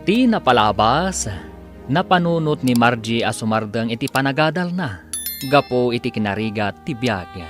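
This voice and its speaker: A man's voice: medium pitch, animated, normal speed, faint music behind. A man with a Filipino accent narrates and announces a scripted radio drama in a dramatic style.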